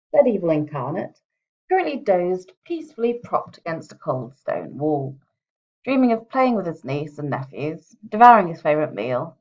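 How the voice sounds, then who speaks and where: A woman author speaks in a slightly low voice with strong vocal fry. Her delivery is wry and a touch theatrical, suited to presenting her own book. The recording is a somewhat dull, muffled home one. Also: darkish tone. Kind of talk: chatty introduction, then expressive audiobook-style reading.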